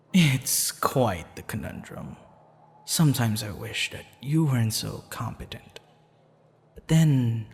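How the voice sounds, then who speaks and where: A smug, dangerous male assassin in love, acted by a man, murmuring intimately in an ASMR roleplay. He talks slowly with a creaky, gravelly edge, often whispering, in a long reverb. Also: medium pitch, a little mellow. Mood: wistful, intimate. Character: lovestruck, menacing, smugly teasing male assassin.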